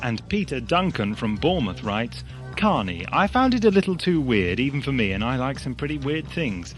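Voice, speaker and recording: Man, scripted letter reader, medium pitch, faint music behind. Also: big pitch swings, moderate pace. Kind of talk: scripted reading aloud.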